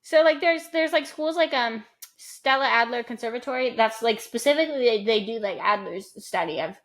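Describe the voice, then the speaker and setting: A woman's voice: a little high, a little fast. A woman co-hosting a casual chat show, talking loosely with many ums and likes. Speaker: podcast co-host.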